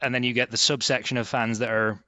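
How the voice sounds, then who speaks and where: A young man with a Yorkshire English accent, chatting as a podcast guest. His voice is bright and forward, and he tells his stories in a relaxed, self-mocking way. The recording is close and dry, with no room sound. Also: medium pitch, fast, no room sound. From England, Hull in East Yorkshire. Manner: easygoing, self-deprecating storytelling. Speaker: guest, club group sales manager. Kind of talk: conversational podcast interview.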